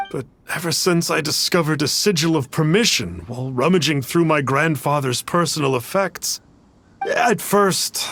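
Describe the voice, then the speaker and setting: A man's voice: fairly high pitch, gravelly, fairly bright tone. Reformed young con man: earnest, reverent, gushing game voice, music behind. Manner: deferential, gushing, stammering. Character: awestruck, earnest young male trickster and devoted admirer. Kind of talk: video game voice acting.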